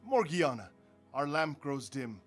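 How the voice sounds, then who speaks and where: Actor as courtly, higher-voiced generous host. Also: male voice, very animated, a little slow, breathy edge, faint music behind, full character voice. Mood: hospitable. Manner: formal, courtly. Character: generous, trusting wealthy householder, courtly host. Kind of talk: radio drama acting.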